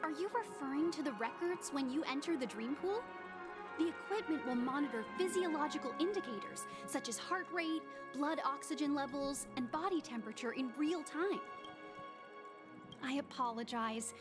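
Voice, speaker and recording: A polite, formal female receptionist speaks in a high voice, with music clearly behind her. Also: animated, normal speed. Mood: polite, helpful. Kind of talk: video game voice acting.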